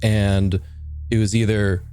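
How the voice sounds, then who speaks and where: A man's voice: lowish voice, level tone, slow, warm tone, slight background noise. A man improvising reflective character talk as a player in a tabletop game, hesitant and earnest. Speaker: tabletop role-playing player.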